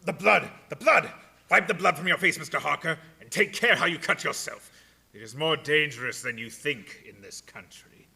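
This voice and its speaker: An actor playing Count Dracula, a sinister, courtly vampire with a formal, theatrical delivery in a radio play. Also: male voice, fairly high pitch, moderate pace, vocal fry, bright, forceful tone, husky, exaggerated voice. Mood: agitated.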